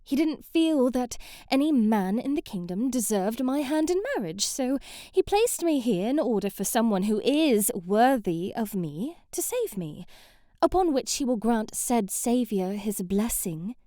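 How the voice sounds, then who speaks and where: Woman voicing a bratty young princess, ASMR roleplay, prim and imperious, highly animated intonation, close dry sound, formal wording. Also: measured pace, no room sound. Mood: prim, defensive. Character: haughty, sheltered young princess, prim, bratty, easily flustered. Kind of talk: scripted ASMR roleplay acting.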